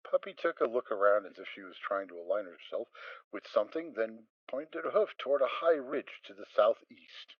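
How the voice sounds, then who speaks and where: A man narrating a dramatic reading of a story, steady and expressive. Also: lowish voice, fairly quick, dry recording, highs cut off. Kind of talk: dramatic reading of fan fiction.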